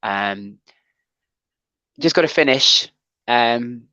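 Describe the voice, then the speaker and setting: A man's voice: mid-range voice, expressive, very slow, sharp tone, no echo. A man hosting a casual livestream chat show, talking with many ums and restarts. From Wales. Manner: hesitant, full of ums and restarts.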